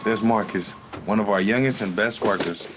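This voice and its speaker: A man who founded a small business tells its story in documentary voice-over, plain and steady. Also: lowish voice, moderate pace, music in the background, rough recording. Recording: background noise.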